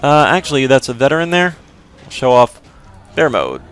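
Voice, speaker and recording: A man's voice: medium pitch, big pitch swings, moderate pace, a bit bright, smooth. A man casually commentating a game walkthrough, explaining as he plays, with a rambling, hedging way of talking. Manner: rambling, lots of fillers and hedges. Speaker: gameplay commentator.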